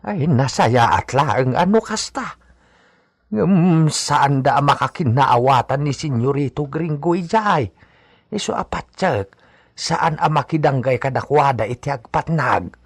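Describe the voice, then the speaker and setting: A man playing a worried, respectful older ranch servant with a husky voice in a radio drama. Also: mid-range voice, animated, unhurried, no echo, studio quality. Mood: concerned, pleading.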